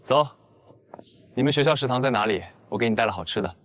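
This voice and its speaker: A man playing a restrained, protective police captain in an animated drama. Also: medium pitch, fast. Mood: caring. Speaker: police detective. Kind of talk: audio drama acting for an animated story.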